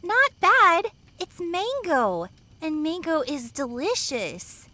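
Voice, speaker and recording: 여자가 연기하는 높은 목소리의 발랄한 젊은 여성 상담원. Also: female voice, very high voice, sing-song, slow, pushed and bright, no room sound, exaggerated voice. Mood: cheerful. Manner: chirpy, casual, girlish. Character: bubbly, easygoing young female camp counselor. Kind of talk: audio drama acting.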